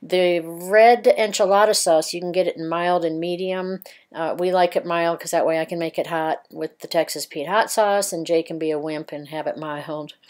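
A middle-aged woman chatting casually in a van vlog, rambling with fillers and soft laughs as she walks through a recipe. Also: on the low side, steady tone, normal speed. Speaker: vlogger. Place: inside a parked passenger van.

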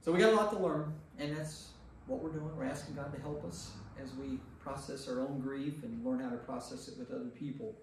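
A man's voice: mid-range voice, a little fast. An American man, a pastor leading an informal Bible study, speaking emphatically and repeating phrases for stress. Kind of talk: informal teaching with group discussion. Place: indoor church meeting room. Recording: echoey room, distant mic, faint noise.

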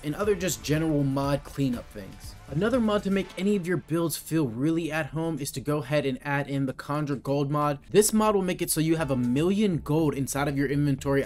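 A man's voice: on the high side, darkish tone. A young man giving casual, slangy tutorial commentary as a gaming video host. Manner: informal, slangy, explanatory. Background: music.

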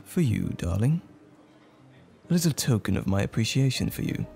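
A man playing a tender, smitten date in an intimate romantic ASMR roleplay, speaking gently and hesitantly with lingering pauses, close to the microphone. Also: medium pitch, big pitch swings, vocal fry, faint music behind. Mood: warm, attentive. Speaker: audio roleplay performer.

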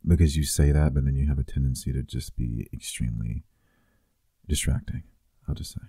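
A man playing a playful, affectionate boyfriend in an intimate ASMR roleplay, teasing softly and conversationally, close to the microphone. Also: rumbling low, fairly even intonation, brisk, vocal fry, husky, dry recording, exaggerated voice.

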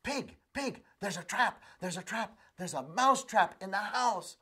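A panicky little mouse squeaks and stammers out his warning in a high, bright, slightly breathy voice, as a male storyteller puts him on. He keeps repeating himself in urgent bursts, the way a nervous small creature would in a spoken folk tale. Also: expressive, measured pace, heavily acted.

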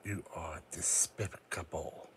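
A man voicing a proud, wary psychic creature in a grave, halting, formal manner for a dramatic fan audio roleplay. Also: sits low, steady tone, slight background noise. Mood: defiant.

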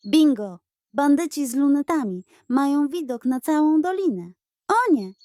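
A woman's voice: sits high, expressive, a little slow, mellow, no echo, voice pushed up. A woman voicing a brave, decisive male Wild West sheriff in a bedtime audio story, talking in a commanding, urgent way. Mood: alarmed.

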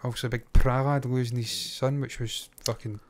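A man's voice: mid-range voice, steady tone, brisk. A middle-aged Scottish man chatting casually as co-host of a review show, blunt and sweary, close on a microphone. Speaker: YouTube reaction show co-host. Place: small indoor home studio. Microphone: close microphone on boom arm.

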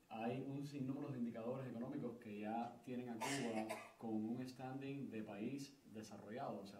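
A young man speaks at a conference panel table and answers a question at length, stumbling over fillers and restarting his phrases. His voice sits at an ordinary pitch. It carries the hollow ring of the room and sounds a little distant from the microphone. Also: mellow, slight background noise. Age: young adult. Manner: hesitant, many fillers and restarts. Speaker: book co-author on a panel. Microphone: table stand mic. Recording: roomy, distant from the mic.

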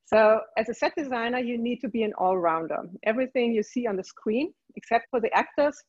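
A woman's voice: a little high, lively intonation, brisk, darkish tone, dry recording. A woman in her forties from Germany with a German accent, explaining her work as an interior design director in a video call interview. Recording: dull lo-fi video call audio.

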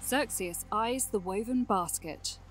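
A woman's voice: medium pitch, slow, slight background noise, lightly acted. A woman acting as storybook narrator of a scripted fantasy audio drama. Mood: narrating.

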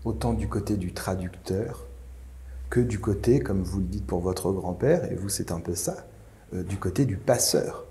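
A man's voice: sits low, normal speed, dark tone. A man in his thirties asking thoughtful literary questions as an interviewer, reformulating hesitantly as he goes. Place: large high-ceilinged indoor salon, seated.

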